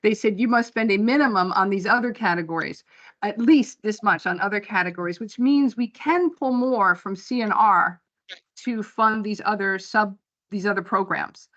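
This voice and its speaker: A woman director presents the budget at a board meeting, moving through each slide in a plain, practical way. Her voice sits at a middle pitch for a woman. The recording is muffled and lo-fi. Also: moderate pace, a bit bright. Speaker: program director presenting finances.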